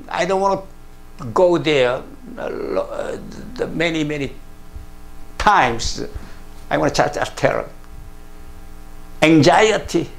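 An older male pastor with a Korean first language delivers a sermon in a plain studio, speaking very slowly with a gravelly, fairly high voice. He leans hard on each phrase and drops in hallelujahs and amens as he goes. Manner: slow, emphatic preaching with frequent hallelujahs and broken English. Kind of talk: sermon to camera. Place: plain studio room, indoors.

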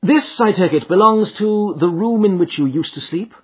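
A man voicing a shrewd, commanding Victorian gentleman detective in an audiobook, speaking in incisive, precise phrases. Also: high-pitched, normal speed, breathy edge, voice pushed up. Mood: inquiring. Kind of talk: audiobook character dialogue. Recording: muffled, thin phone-like audio.

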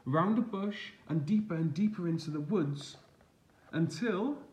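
A man with a slightly high voice reads a picture book aloud for children. He is expressive and deliberate, like a teacher, and leans on the action words. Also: highs cut off. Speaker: teacher and storyteller. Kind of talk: reading a children's storybook aloud.

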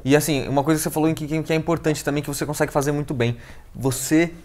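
A Brazilian man in his thirties hosting an interview on a couch, relaxed and asking long rambling questions. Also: on the high side, rapid-fire. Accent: Brazilian Portuguese. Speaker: interview host. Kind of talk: casual interview conversation. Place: indoor living room set on a couch.

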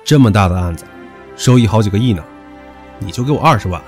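An actor playing a hot-tempered, possessive young rich heir in an audio drama, brash and taunting. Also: male voice, fairly low pitch, lively intonation, fast, darkish tone, soft background music, close to an everyday voice. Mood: defiant, irritated. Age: young adult. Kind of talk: Chinese audio drama acting.

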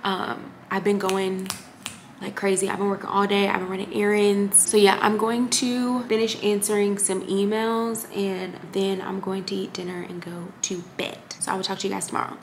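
A woman's voice: medium pitch, little pitch movement, normal speed, vocal fry. A young woman in her twenties chatting upbeat to the camera while she gets ready at home. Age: 20s. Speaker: lifestyle vlogger. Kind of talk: casual vlog chat to camera. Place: apartment kitchen and bathroom. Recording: echoey room with background noise.

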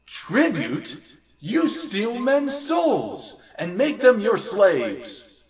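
A righteous young vampire hunter played by a man declaims in a high, measured voice. He sounds earnest and theatrical, off the mic with busy noise behind. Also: huge pitch swings, far from the mic, crowd noise, full character voice. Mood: defiant. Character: righteous, defiant young vampire hunter, video game hero.

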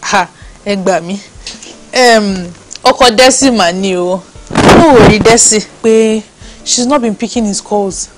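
An actress playing a woman who calms a caller and phones her friend, speaking mixed with English. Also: mid-range voice, a little slow, heavy background noise, close to an everyday voice. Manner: polite and soothing on the phone, mixes Yoruba and English. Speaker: friend of Desi. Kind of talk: Nollywood drama acting.

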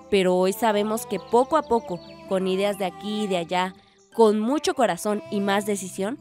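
An actress playing a hopeful young village woman, narrating a radio drama in an earnest, measured way. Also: mid-range voice, a little mellow, close to an everyday voice. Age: young adult.

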